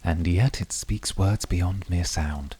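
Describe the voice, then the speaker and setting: Casting: male actor as calm, brooding incubus, extremely deep, raspy, quietly seductive. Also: exaggerated voice. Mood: intimate, seductive. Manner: measured, quietly seductive, intimate. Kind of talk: scripted flirty ASMR roleplay.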